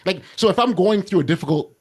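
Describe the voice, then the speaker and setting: Earnest loud-voiced male podcast host. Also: sits high, animated, quick pace, breathy edge. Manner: earnest, many restarts and repeated words. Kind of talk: casual interview chat.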